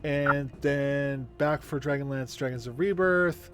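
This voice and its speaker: A man in his forties, the game master, chatting casually and rambling with many pauses. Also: medium pitch, music in the background. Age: 40s. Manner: rambling, hesitant. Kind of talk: casual stream chat.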